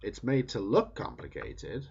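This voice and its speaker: A man reading a book aloud in measured, emphatic phrases that trail off at the ends of sentences. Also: mid-range voice, wildly swooping pitch, vocal fry, a little mellow, slightly rough, highs cut off. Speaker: reader.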